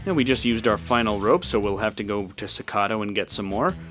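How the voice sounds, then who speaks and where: A man's voice: fairly low pitch, a little fast, a bit bright. A man giving casual walkthrough commentary on a video game. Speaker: gameplay walkthrough host. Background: game music.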